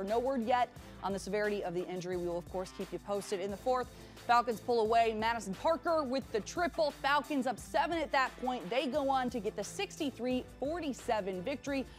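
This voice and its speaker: Woman anchor narrating sports highlights. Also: fairly quick, faint music behind. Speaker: news anchor.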